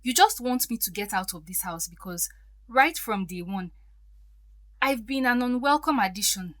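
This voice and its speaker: A woman's voice: a little high, lively intonation, moderate pace, a bit bright, no echo, clean studio sound. An actress playing a hurt, defiant stepdaughter in her thirties in an audio drama, emotional and pleading.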